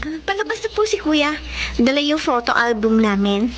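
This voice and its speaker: Very high-pitched polite little sister. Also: female voice, big pitch swings, moderate pace, lo-fi sound, noisy background. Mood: courteous. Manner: courteous. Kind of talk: Filipino radio drama acting. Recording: loud background music.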